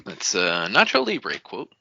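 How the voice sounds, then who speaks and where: Animated, creaky-voiced man, bright and higher-pitched. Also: measured pace, dry recording, dull audio. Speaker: podcast co-host chiming in. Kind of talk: casual chat.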